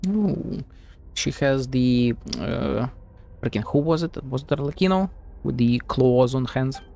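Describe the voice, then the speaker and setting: Gravelly male game streamer, casual and rambling. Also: medium pitch, lively intonation, measured pace, warm tone. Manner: rambling, playful, reacts aloud and reads along. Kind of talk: casual live game commentary.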